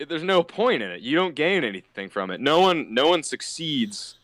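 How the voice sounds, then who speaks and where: A sixteen-year-old boy telling a story in casual chat, animated and laughing, sometimes jumping into high put-on voices. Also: normal speed, dry recording. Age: teens. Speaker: podcast co-host, storyteller.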